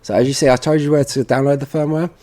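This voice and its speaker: A man giving an informal software tutorial, thinking aloud as he works at a computer. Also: medium pitch, fairly even intonation, fast talker. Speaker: tutorial presenter for a security products seller. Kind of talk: casual software walkthrough narration.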